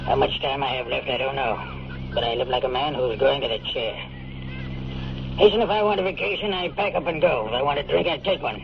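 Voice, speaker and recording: An actor playing an ailing, worried old big-city mayor in a radio drama, with a hoarse, confiding, deliberate voice. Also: male voice, lightly acted. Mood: grave, confiding. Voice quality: hoarse, low-speaking, gravelly. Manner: confiding, deliberate, throat-clearing. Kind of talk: 1950s radio drama acting. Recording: muffled, noisy old radio recording.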